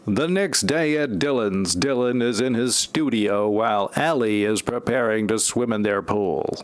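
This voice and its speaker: A man's voice: lowish voice, a little slow. A man narrating a parody audio play from a script, with wry asides.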